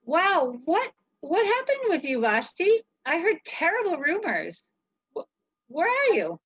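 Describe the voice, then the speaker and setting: A woman's voice: very high-pitched, huge pitch swings, measured pace. A middle-aged woman chatting in playful role-play for children over a video call. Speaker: co-host and story reader in costume. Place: book-lined room indoors, on a video call. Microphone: computer video call. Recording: dull video-call audio.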